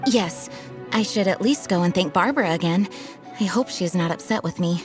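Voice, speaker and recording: A woman's voice: lowish voice, normal speed, over music, lightly acted. A woman playing a dutiful, stubborn knight acting as grand master in a game cutscene, speaking formally and earnestly. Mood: gentle, composed.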